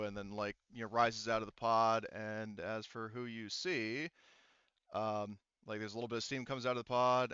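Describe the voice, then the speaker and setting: Male game master, smooth tone, casual halting narration, lo-fi online call sound. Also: on the low side, sharp tone, no echo. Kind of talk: improvised tabletop game narration. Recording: dull lo-fi voice-chat audio.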